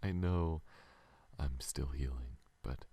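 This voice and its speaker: A man playing a flirtatious, gentle alien adventurer in an intimate ASMR audio drama, murmuring and half-whispering in a breathy, husky voice. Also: very deep voice, slow pace, heavily acted.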